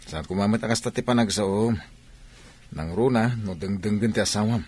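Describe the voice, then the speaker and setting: A man's voice: lowish voice, normal speed, a little mellow, husky. An actor playing a frank, probing godfather with a Filipino accent in an audio drama. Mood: probing, chiding. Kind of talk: Ilocano audio drama acting.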